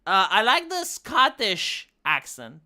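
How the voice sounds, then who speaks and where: A woman's voice: fairly high pitch, huge pitch swings, slow, pushed and bright. A woman streamer with a Romanian accent, English not her first language, ranting profanely with many restarts. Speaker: live streamer. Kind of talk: ranting live-stream commentary.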